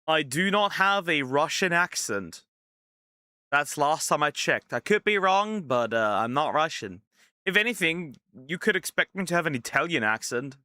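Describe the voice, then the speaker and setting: Male streamer, casual game commentary, incredulous reactions, lively pitch, bright tone. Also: no room sound, studio quality. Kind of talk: let's play commentary.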